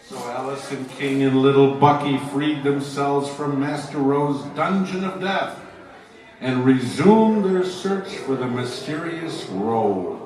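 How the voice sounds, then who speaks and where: A man playing a mock-serious, showy 1940s radio announcer and pitchman, with deliberate delivery. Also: a little mellow, lightly acted. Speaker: announcer, narrator. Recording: echoey, distant, with music behind.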